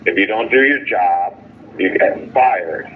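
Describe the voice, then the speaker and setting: A man calling in by phone with a halting, aggrieved, sardonic account in casual speech, as a jailed caller giving an update. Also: on the low side. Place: jail phone call. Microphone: telephone. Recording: tinny noisy phone line.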